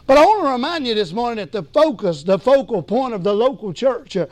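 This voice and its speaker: A man preaching a sermon as pastor, hoarse from losing his voice, emphatic and calling for responses. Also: very high voice, expressive, a little fast, darkish tone, dry recording. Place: church sanctuary.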